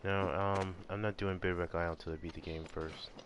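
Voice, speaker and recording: A man's voice: low voice, little pitch movement, fairly quick, noisy background. A man doing casual live gameplay commentary in an offhand way with mild, clean slang. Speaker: video game streamer.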